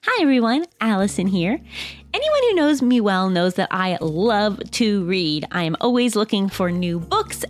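A woman co-hosting a podcast, speaking in an animated, conversational way, in casual chat and sponsor reads. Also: on the high side. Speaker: podcast co-host and ad reader.

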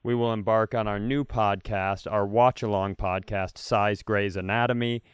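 Smooth-voiced man riffing on a podcast. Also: fairly low pitch, steady tone, moderate pace, dry recording. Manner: riffing, storytelling, playful bits. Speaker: podcast co-host. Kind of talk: casual comedic chat.

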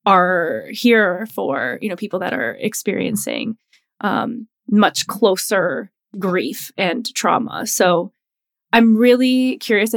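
A woman co-hosting a podcast who works in healthcare, speaking earnestly and haltingly as she searches for words. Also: mid-range voice. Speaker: podcast co-host, healthcare worker. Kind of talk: casual podcast chat.

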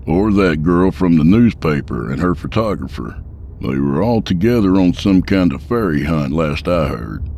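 A man voicing a folksy, easygoing male state trooper who talks in a calm, soothing way. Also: low-pitched, moderate pace, slight background noise.

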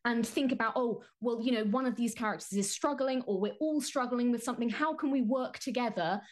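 British woman, high voice, animated and playful, enthusiastic guest previewing a show. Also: fairly quick. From United Kingdom. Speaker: guest contributor presenting a show.

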